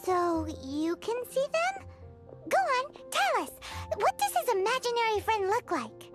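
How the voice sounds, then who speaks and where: A woman voicing a tiny, excitable, childlike fairy companion girl in a video game, nosy and blunt, with a squeaky voice. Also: measured pace, over music, exaggerated voice. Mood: eager, nosy. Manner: exclamatory, talks about self in third person. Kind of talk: video game character dialogue.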